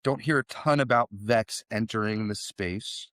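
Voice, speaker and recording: A man's voice: lowish voice, unhurried, fairly bright tone, no echo, studio quality. A middle-aged man hosting a podcast in a conversational interview style. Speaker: podcast host.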